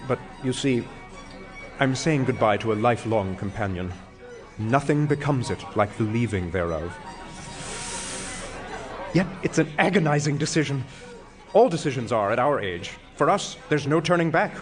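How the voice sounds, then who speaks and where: Actor as a pompous older professor, theatrically wordy. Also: male voice, medium pitch, expressive, moderate pace, pauses often, music in the background, natural voice. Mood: confessional, wistful. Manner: theatrical, wordy, self-mocking speechifying. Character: pompous, self-dramatizing retired philosophy professor and smoker. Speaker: actor in an audio play. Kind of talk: stage comedy acting.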